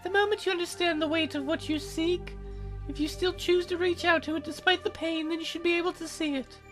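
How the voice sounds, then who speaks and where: A man voicing a sad, lonely young girl from a visual novel in a thin, listless falsetto. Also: fairly even intonation, fairly quick, a bit bright, soft background music. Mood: solemn. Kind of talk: visual novel character read-aloud.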